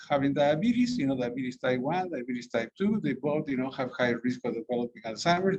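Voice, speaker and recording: A man from South America giving a rambling medical lecture as a physician, full of fillers and restarts. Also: medium pitch. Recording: dull lo-fi recording.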